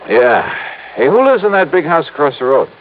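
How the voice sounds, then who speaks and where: Breezy, wisecracking radio private investigator, animated delivery. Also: male voice, fairly high pitch, normal speed. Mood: curious. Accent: American. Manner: wry, breezy, slangy. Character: wisecracking, flirtatious male freelance insurance investigator. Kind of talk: 1950s radio detective acting, first-person narration. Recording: muffled, noisy old-time radio recording.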